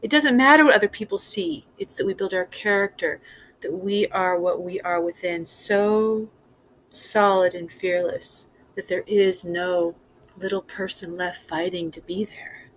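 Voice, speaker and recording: A woman's voice: mid-range voice, unhurried, low-quality recording. A woman speaking off the cuff as a spiritual teacher, earnest and emphatic, building up her points in repeated phrases. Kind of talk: impromptu spiritual talk. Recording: clear background noise.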